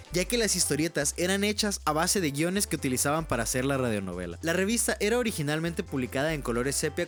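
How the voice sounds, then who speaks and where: A man delivering scripted documentary narration as a video essayist. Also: fairly high pitch.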